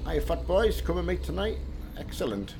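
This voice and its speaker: A middle-aged man with a slightly croaky voice, chatting casually with viewers of his live stream while he plays. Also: a little high, big pitch swings, moderate pace, noisy background. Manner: chatty, friendly, answers chat viewers one by one. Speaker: streamer greeting chat.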